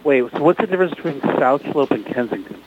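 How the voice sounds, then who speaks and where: A man's voice: a little high, lively intonation, fast talker, background noise. Man, comedian guest, New York City, wry jokes, slightly muffled sound. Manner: wry, joking. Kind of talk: casual studio chat.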